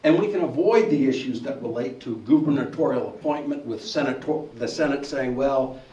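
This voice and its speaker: A man's voice: mid-range voice, a little fast, warm tone. A man, speaking on a panel at a public forum and arguing his case with a story. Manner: persuasive, storytelling. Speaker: bar association president on a panel. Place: church hall forum. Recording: echoey hall, distant mic.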